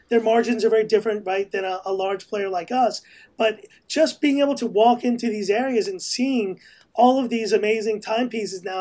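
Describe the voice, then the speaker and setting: A man's voice: high voice, a little mellow. A man with an American accent, a podcast guest talking casually and rambling with many restarts. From raised in Hong Kong, family from El Salvador. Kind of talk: casual conversation.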